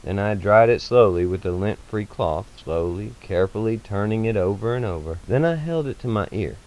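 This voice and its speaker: A man voicing an eccentric, gentle male scientist, earnest and childlike, with slow, emphatic phrasing in a story reading. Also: fairly low pitch, expressive, lightly acted, rough recording. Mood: slow, hushed intensity.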